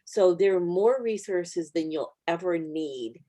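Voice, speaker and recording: A middle-aged woman with a somewhat low voice co-hosts a Bible study. She talks in an earnest, encouraging way and passes on practical advice in a casual conversation. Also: lively intonation, measured pace, mellow. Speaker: Bible study author and co-host.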